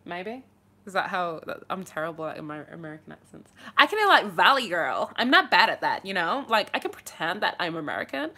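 Bubbly young woman, lively bright voice. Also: lowish voice, a little fast. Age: young adult. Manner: bubbly, chatty, animated. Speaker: livestream host answering viewer questions. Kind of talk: casual live chat. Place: small bedroom indoors. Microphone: phone held at arm's length.

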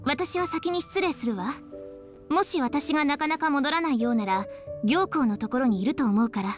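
A woman's voice: high-pitched, lively intonation, normal speed. An actress playing a polite, serious and dutiful young woman in a video game, speaking with composure. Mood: polite, concerned. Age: young adult. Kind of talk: video game character dialogue. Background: background music.

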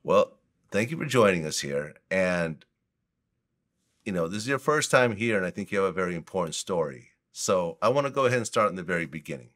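A man's voice: sits low, animated, a little fast, fairly bright tone. A man hosting an interview, asking direct, leading questions. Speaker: interview host.

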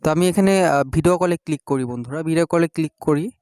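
Young man, halting tutorial voice. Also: fairly high pitch, a little mellow, smooth, dry recording, studio quality. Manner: hesitant, many drawn-out fillers while clicking. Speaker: tech tutorial host. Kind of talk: screen-recorded app tutorial.

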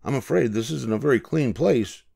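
Man acting a helpful tomcat of the sea, storybook character, raised pitch, courteous, reassuring. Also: lively intonation, normal speed, vocal fry, mellow, slightly rough, full character voice. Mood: gently guiding. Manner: reassuring, politely well-spoken. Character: friendly, helpful male ship's cat.

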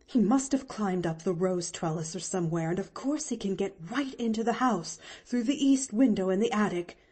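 A woman's voice: mid-range voice, animated, normal speed, breathy edge. A woman doing a stern, clipped spinster aunt. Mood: frightened, urgent. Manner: sharp, clipped, commanding. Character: stern, flustered middle-aged spinster aunt.

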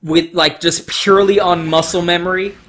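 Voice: medium pitch, fairly even intonation, moderate pace, a bit bright. A gamer giving casual, emphatic let's play commentary, swearing freely and joking along the way. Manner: profane, jokey, emphatic. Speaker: gaming video host playing and commentating. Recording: game music under the voice. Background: video game music.

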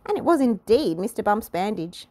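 A woman reading a children's storybook aloud in an expressive, deliberate way. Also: medium pitch. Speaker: storybook reader. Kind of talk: scripted children's storybook narration.

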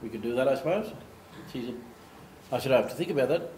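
An older man from Australia, giving an animated storytelling talk at a lectern in a meeting room. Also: mid-range voice, fast, slight background noise. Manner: animated, gestures, acts out quoted voices. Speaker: speaker giving a slide talk. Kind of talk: storytelling presentation. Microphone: far camera. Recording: echoey room, faint ambience.

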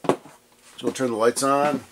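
A man's voice: mid-range voice, steady tone, a little slow, background noise. A man hosting an arcade board repair vlog, talking casually in his electronics workshop while stuffed up with allergies, rambling and restarting his sentences. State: stuffed up, congested.

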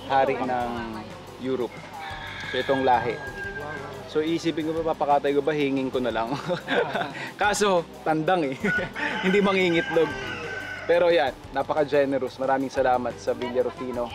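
A man in his thirties with a Filipino accent, presenting and interviewing casually outdoors on a farm. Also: medium pitch. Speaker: host, chef. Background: background music.

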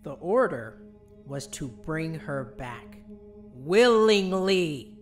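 A man's voice: fairly high pitch, sing-song, extremely slow, faint music behind, full character voice. A man voicing a polite, earnest pony agent with formal, courteous delivery in an audio drama. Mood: exasperated.

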